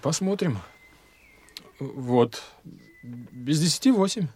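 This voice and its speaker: A husky, troubled young man. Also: mid-range voice, lively intonation, slow, a little mellow. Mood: puzzled. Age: young adult. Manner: agitated, insistent. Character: troubled young man fearing he is going mad. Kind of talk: theatrical audio drama dialogue.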